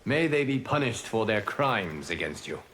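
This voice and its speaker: An actor playing a calm, solemn fire god and guardian mentor in a fighting game, speaking in formal, measured phrases. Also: male voice, fairly low pitch, slight background noise. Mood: grave, measured. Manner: formal, measured, grave wording. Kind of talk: scripted fighting game dialogue.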